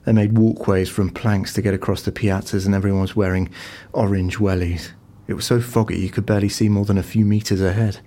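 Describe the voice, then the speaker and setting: An actor playing a dry, casual office boss with a husky voice, in a naturalistic audio drama. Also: male voice, on the low side, level tone, fairly quick, hardly any pauses, mellow, lightly acted. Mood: dry, understated. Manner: understated, dry.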